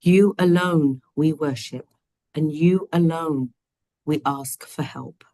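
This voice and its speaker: A woman with a deep, low voice hosts an online study session from home over a video call, gentle and chatty with plenty of fillers. Her voice is a little croaky, and the sound is dry and close. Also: slow, dry recording. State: slightly croaky voice. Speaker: host.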